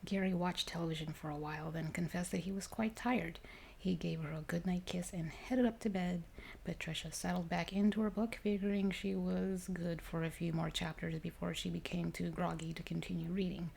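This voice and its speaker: A woman reading a story aloud ASMR-style, soft and unhurried, partly whispered. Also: lowish voice, fairly even intonation, gravelly. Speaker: ASMR reader. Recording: faint noise behind the voice.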